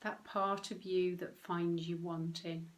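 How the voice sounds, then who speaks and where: A woman leading a guided meditation, speaking gently and unhurriedly in short phrases with long pauses. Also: fairly low pitch, level tone. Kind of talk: guided meditation, soothing instruction.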